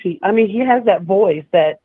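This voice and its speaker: A man with a raspy voice, hoarse from being sick, chatting casually as a podcast co-host in a halting, agreeable way. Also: sits high, expressive, dry recording. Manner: halting, restarts often, agreeable. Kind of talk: casual chat. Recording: tinny phone line.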